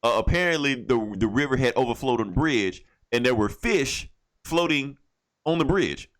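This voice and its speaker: A man's voice: medium pitch, lively intonation, normal speed, fairly bright tone. A man from the United States hosting a casual podcast, telling stories in a joking, profane way. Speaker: comic book podcast host.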